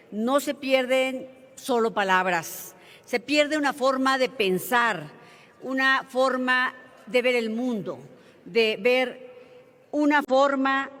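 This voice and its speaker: A woman's voice: on the high side, fairly bright tone. A woman senator from Mexico with a Mexican accent, giving a formal floor speech in an earnest, emphatic oratorical manner. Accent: Mexican Spanish. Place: large legislative chamber. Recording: reverberant legislative chamber.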